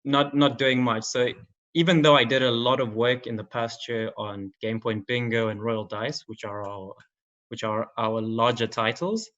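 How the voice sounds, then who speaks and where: A man's voice: medium pitch, steady tone, moderate pace, fairly bright tone. A young man from Zimbabwe giving an online conference talk as a games marketing manager, in a casual style with many ums and likes. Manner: casual, filler-heavy delivery with frequent "um" and "like". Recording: slightly dull lo-fi audio.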